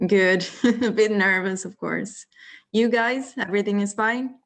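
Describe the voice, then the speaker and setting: A woman's voice: mid-range voice, measured pace, creaky. A woman in her twenties from northeast Italy with an Italian accent, answering questions as a guest on a video call, friendly, with many fillers. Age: 20s. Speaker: guest speaker.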